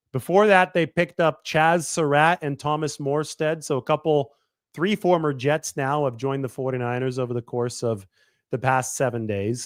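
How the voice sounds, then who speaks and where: A man in his thirties talking through a football analysis on his own show, quick and analytical, often restarting and correcting himself mid-sentence. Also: mid-range voice, clear, resonant tone, no echo, clean studio sound. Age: 30s. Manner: quick analytical delivery with frequent self-corrections. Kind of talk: sports analysis monologue.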